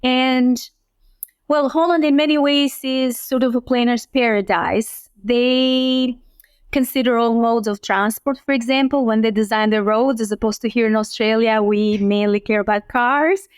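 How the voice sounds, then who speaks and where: Clear-voiced woman from Albania. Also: fairly high pitch, fairly even intonation, no room sound, clean studio sound. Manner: measured, explanatory, with frequent ums. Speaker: urban planning professor, guest. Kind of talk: conversational interview answers.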